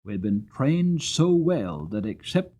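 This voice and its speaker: Guy reading as an old cavalry horse in a storybook, earnest n proud, tells war tales dramatically, medium pitch. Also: sing-song, measured pace, warm tone, husky. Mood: tense, solemn. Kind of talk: dramatic audiobook character reading.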